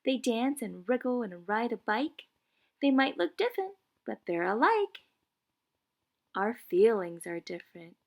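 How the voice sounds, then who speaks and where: Female read-aloud voice for preschool storytime, fairly high and animated, with a touch of creak, deliberate phrasing. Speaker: preschool teacher. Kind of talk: children's picture book read-aloud.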